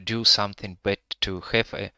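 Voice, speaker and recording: A man with a Russian-Ukrainian accent guiding a live virtual tour, speaking halting English with many pauses to find his words. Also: lowish voice, flat delivery, sharp tone, clean tone, no echo. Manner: hesitant, pausing to find words; halting non-native English with frequent fillers.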